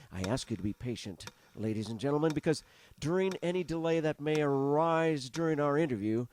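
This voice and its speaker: An actor playing an eager reporter describing an observatory in a 1930s radio drama. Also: male voice, mid-range voice, a little hiss or hum, close to an everyday voice. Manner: vivid, descriptive, animated.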